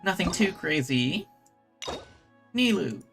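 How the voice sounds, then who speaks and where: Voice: mid-range voice, big pitch swings, slow pace, creaky, a bit bright, faint music behind. A streamer chatting casually and enthusiastically to viewers while playing a game, in a rambling way. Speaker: streamer and host. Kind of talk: casual livestream gaming chat.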